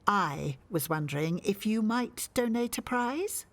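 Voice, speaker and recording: An actress playing a brisk, bossy, chatty village organiser in a radio soap. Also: female voice, medium pitch, expressive. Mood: brisk, persuasive.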